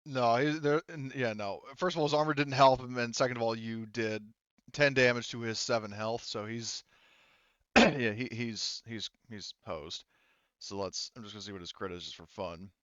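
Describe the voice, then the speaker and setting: A man's voice: mid-range voice, normal speed, a bit bright, clean tone, no room sound, muffled recording. A man speaking casually and informally as game master of a tabletop roleplaying game.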